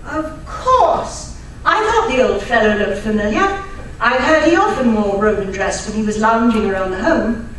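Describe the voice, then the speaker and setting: A woman's voice: a little high, expressive, normal speed, a bit bright. A woman playing a polite, helpful, well-bred lady in an old-time radio drama, speaking in a genteel, earnest manner. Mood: intrigued. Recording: echoey live stage, distant mic, faint noise.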